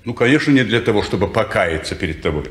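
A man's voice: lowish voice, expressive, fast talker, echoey room, close to an everyday voice. An actor playing a dry, sardonic, cynical man in a 1950s radio play. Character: cynical detached man, former lover.

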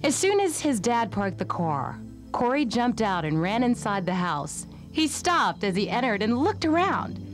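A woman's voice: fairly high pitch, sing-song, a little slow, music in the background. A woman reading scripted narration for a children's storybook. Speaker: storybook reader.

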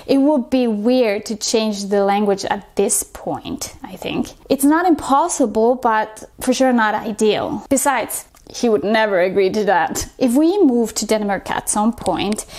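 Casting: woman, 30s, from Ecuador, slightly high-pitched voice, explanatory video host addressing viewers. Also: a little mellow. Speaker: host of a multilingual parenting channel. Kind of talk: explanatory question-and-answer to camera. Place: small indoor room, plain wall.

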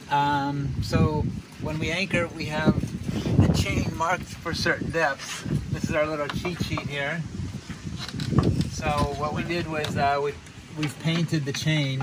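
A man in his fifties casually explaining a boat chore as a sailing vlog host, relaxed and chatty with many ums and restarts, recorded on camera outdoors on a catamaran at a marina. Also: on the high side. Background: wind and harbor noise.

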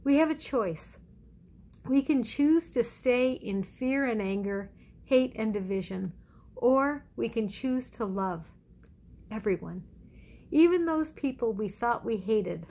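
A middle-aged woman pastor giving a conversational sermon at home in a measured, reflective way with deliberate pauses. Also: a little high, animated, dark tone. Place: indoors in a living room at home. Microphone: phone or webcam at arm's length.

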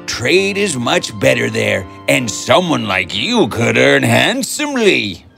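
A woman's voice: extremely low pitch, very animated, takes it slowly, gravelly, over music, pitched below the performer's own voice, exaggerated voice. A woman voicing a kindly old male peddler giving fatherly advice in a fairy tale. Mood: advising, fatherly.

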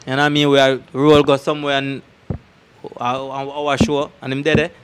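A man's voice: medium pitch, little pitch movement, brisk. A man with a Jamaican accent telling anecdotes casually and emphatically into a handheld microphone as an interview guest, often checking that the listener follows. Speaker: interview guest, dancer recalling tours. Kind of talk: casual anecdotal storytelling in patois. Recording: clear background noise and ambience.

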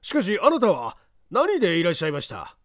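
An effusive, deferential gentleman of an old castle, acted out by a male reader. His pitch is high and swings widely as he speaks with fussy courtesy, in a storybook reading. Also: huge pitch swings, a little fast, no echo, clean studio sound, full character voice. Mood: delighted, gushing. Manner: deferential, gushing, hesitant politeness. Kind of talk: audiobook character dialogue.

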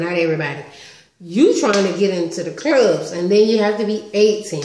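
Chatty thirty-year-old woman in echoey room. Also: mid-range voice. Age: 30s. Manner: chatty, animated with lots of hand gestures. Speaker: vlogger. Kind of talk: casual story-time vlog. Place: living room indoors at home. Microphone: webcam close up.

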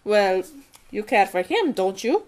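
A woman playing an encouraging, excitable enchanted castle servant in an audio drama, with a theatrical manner. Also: medium pitch, unhurried.

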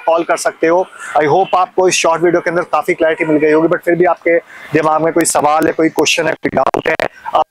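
A man pitching a commercial property in Hindi peppered with English, with many filler words. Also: a bit bright, slight background noise, poor audio. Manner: Hindi mixed with English, frequent "you know" fillers; persuasive sales talk. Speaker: real estate consultant.

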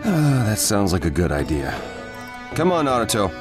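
A man voicing a polite, dutiful ninja captain with a courteous, measured manner in an anime game dub. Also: fairly low pitch, huge pitch swings, music in the background.

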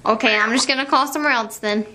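A woman's voice: fairly high pitch, quick pace, pushed and bright. A teenage girl talking casually as an amateur prank caller, recorded in a small room at home. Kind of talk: casual chat. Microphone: camera's built-in mic, close by.

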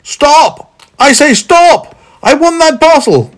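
An angry big man from a children's storybook, acted out by a man. He scolds impatiently in a strained, high-pitched shout. His delivery is forceful and indignant, swinging widely in pitch. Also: lively intonation, a little slow, creaky, heavily acted.

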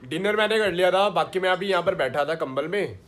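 A young man in his twenties with a fairly high voice talks casually into a phone held at arm's length, vlogging his day. He chats on easily, his throat a little sore. It sounds like a small room at home. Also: fairly quick, loud music behind the voice. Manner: chatty, narrating his day to the camera. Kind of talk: casual vlog chat.

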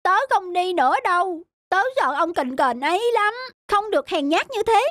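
A woman voicing a small, mischievous young squirrel in a cartoon, with a childish, excitable way of talking. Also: very high voice, moderate pace, a little hiss or hum. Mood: pleading, scared. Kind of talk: cartoon voice acting. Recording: faint music behind.

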